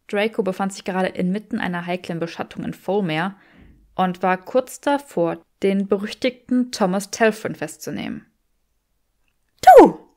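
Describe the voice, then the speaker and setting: A woman's voice: mid-range voice, darkish tone. A woman reading scripted fiction aloud as an audiobook narrator.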